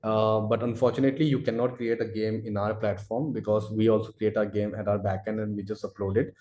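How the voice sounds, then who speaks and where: A man answering audience questions as a product expert in a live webinar, speaking haltingly and repeating himself as he explains. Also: fairly low pitch, little pitch movement, dark tone. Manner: halting, repetitive, hedging delivery. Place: indoor room, webinar call. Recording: roomy, echoey sound.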